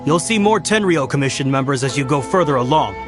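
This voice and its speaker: An actor playing a dutiful commission guard in a video game, formal and reassuring in an official tone. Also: male voice, mid-range voice, animated, fast talker, natural voice. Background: game music.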